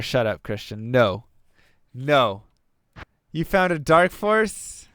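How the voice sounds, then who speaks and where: A man's voice: mid-range voice, vocal fry, a bit bright, a little hiss or hum. A young man streaming a video game, casually chatting and answering viewers' questions aloud, saying he is losing his voice. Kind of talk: casual chat while playing, answering viewer chat.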